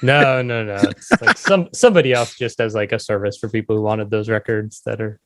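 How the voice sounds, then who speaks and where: A man's voice: lowish voice, fairly quick. A man hosting a podcast, chatting casually and asking questions in an interview. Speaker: podcast host.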